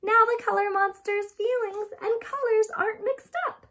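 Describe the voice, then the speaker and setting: A woman in her thirties reading a picture book aloud to young children in an expressive, dramatic way, stressing key words and addressing her listeners directly. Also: very high-pitched. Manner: dramatic gasps and emphatic, drawn-out key words. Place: small furnished room at home, indoors.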